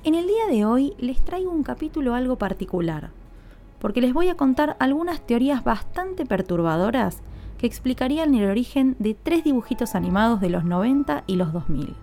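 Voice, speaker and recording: Mellow, higher-pitched woman narrating, soft music. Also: big pitch swings, moderate pace. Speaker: podcast host. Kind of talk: scripted storytelling narration for a mystery podcast.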